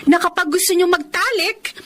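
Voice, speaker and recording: A woman's voice: very high voice, normal speed, pushed and bright, a little hiss or hum. A woman with a Filipino accent acting a wronged, hurt but resolute wife in a radio drama. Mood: cold, accusing.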